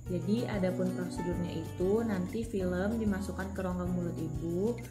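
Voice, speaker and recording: A woman's voice: lowish voice, normal speed. A young adult woman, politely explaining things to a patient in a rehearsed clinical role-play. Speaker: student operator addressing a patient. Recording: roomy echo with music behind.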